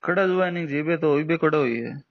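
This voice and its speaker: A man's voice: on the high side, brisk. A man with a Haryanvi accent in a casual phone conversation, talking deferentially and often agreeing respectfully. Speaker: citizen, job applicant on a phone call. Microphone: phone call recording. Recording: dull lo-fi recorded phone call.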